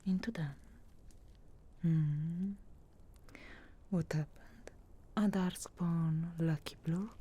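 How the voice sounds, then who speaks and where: A woman voicing a sharp-tongued, sarcastic but reluctantly caring witch in a slow, breathy, whispered ASMR fantasy roleplay. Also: low-pitched, heavily acted. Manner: slow, deliberate, dry sarcastic teasing.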